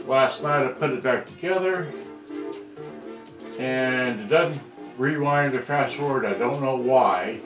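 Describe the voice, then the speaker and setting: Guy doing a loose hobbyist show-and-tell, kinda mumbling to himself, far from the mic, music behind. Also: medium pitch. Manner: rambling, muttering to himself while searching. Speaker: vintage electronics hobbyist presenter. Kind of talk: casual off-the-cuff show-and-tell narration. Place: indoors in a room at a computer screen. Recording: roomy echo, distant mic, music behind.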